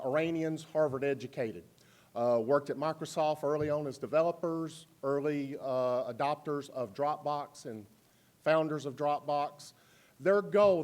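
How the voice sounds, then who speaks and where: A man who works as a school technology facilitator, giving an informative presentation to a board meeting with frequent pauses and fillers. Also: on the high side, a little mellow.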